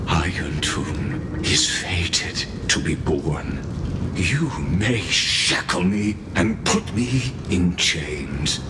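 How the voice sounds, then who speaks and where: An actor playing a calm, scheming video game villain who speaks in a coldly polite, formal and measured way. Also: male voice, deep voice, reverberant, heavily acted. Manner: measured, formal, deliberate phrasing with pauses. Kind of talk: scripted video game voice acting.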